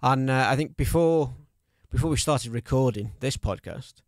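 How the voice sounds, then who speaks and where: A man's voice: medium pitch, moderate pace, darkish tone, no echo, studio quality. A man hosting a podcast interview, talking casually with many hesitations and fillers, self-deprecating. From North Wales border area. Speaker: podcast host.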